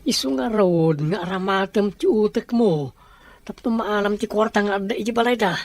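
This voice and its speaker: A woman's voice: medium pitch, normal speed, darkish tone, no room sound, close to an everyday voice. A woman playing a greedy, cunning accomplice in an Ilocano radio drama, with a Filipino accent. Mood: pushy, greedy.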